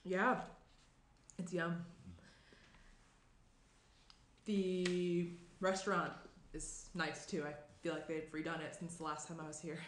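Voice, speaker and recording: A woman's voice: fairly low pitch, flat delivery, unhurried, lightly acted. A young woman playing an uneasy, evasive engaged writer at a table read, speaking hesitantly and understatedly. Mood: uneasy, casual. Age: young adult. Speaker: actor reading a lead part. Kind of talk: screenplay table read, naturalistic. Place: small indoor room around a table. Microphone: shared USB tabletop microphone. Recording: roomy echo, clear noise.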